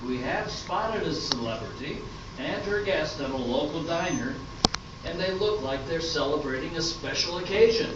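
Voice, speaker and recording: An older man presenting an amateur radio play on stage in a slow, scripted way. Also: a little high, lively intonation. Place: indoor hall at a live performance. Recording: roomy echoing hall, distant mic, dull, faint noise.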